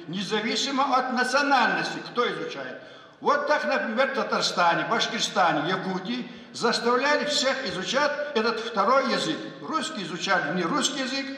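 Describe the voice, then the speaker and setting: A man's voice: high voice, bright, forceful tone. Older man lecturing in an echoey hall. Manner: emphatic, lecturing, repeats key points. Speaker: education official speaking at a conference. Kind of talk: conference speech. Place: large conference hall. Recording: echoey conference hall with long reverb.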